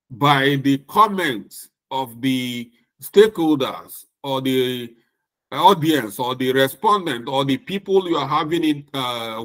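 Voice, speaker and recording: A middle-aged man from Nigeria with a Nigerian accent, giving a recorded lecture in a slow, deliberate way with emphatic repetition. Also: mid-range voice, fairly bright tone.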